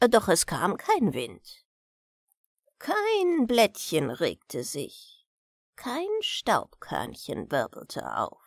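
A woman's voice: lowish voice, gravelly, husky, no echo. A woman narrates a bedtime fairy tale with a widely swinging melody in her voice. She takes her time over each phrase, telling it expressively. The recording is dry and close, with no room sound. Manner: expressive, unhurried storytelling. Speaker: audiobook reader of fairy tales.

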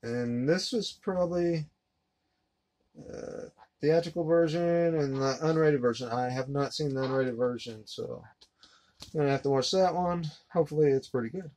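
A man in his thirties giving a relaxed, rambling unboxing commentary while handling discs at his desk. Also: fairly high pitch, normal speed. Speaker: collector unboxing a disc set. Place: collectibles room indoors. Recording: noisy home recording.

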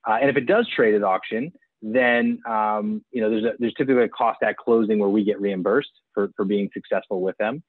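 A man in his forties on a video call, explaining his business in an eager sales pitch with frequent restarts. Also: steady tone, fairly quick, no echo. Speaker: auction platform executive. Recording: tinny phone-like video call audio.

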